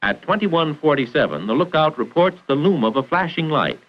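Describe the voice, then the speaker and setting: A dull, band-limited 1940s film soundtrack carries an American man narrating a training film. His pitch moves up and down freely as he delivers the script in a formal, precise, instructional way. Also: very animated, fairly quick.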